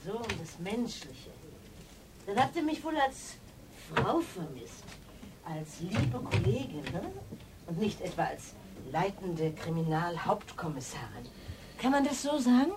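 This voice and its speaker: An actress playing a wry, teasing middle-aged police chief inspector in a radio drama, speaking in a dry, colloquial, mocking way. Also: female voice, lowish voice, very animated, unhurried, noisy background. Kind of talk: naturalistic radio drama dialogue.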